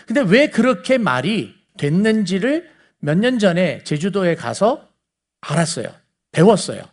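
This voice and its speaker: High-pitched Seoul man preaching conversationally. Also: very animated, measured pace. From South Korea. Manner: explanatory, asks listeners rhetorical questions. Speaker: preacher teaching the Bible. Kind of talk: conversational sermon lecture to a congregation.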